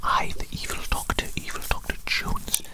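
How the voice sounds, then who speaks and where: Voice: normal speed, close to an everyday voice. A performer voicing an eccentric, doting evil doctor in a whispered ASMR roleplay, repeating phrases in soothing, formal wording. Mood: obsessive, eager. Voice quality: breathy unvoiced whisper. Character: eccentric evil mad scientist doctor, doting creator, obsessed with science.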